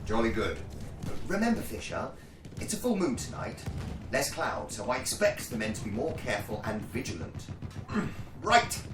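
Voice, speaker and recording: A man playing a brisk, bluff British army captain in a war radio play, with a clipped, jovially authoritative manner. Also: medium pitch, wildly swooping pitch, over music. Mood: brisk authority. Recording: roomy, distant mic with background sound bed.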